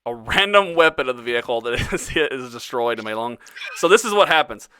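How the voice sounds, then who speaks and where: A man's voice: medium pitch, animated, a little fast, pushed and bright, clean tone, no room sound. A man running a tabletop game as game master, swearing casually and laughing often.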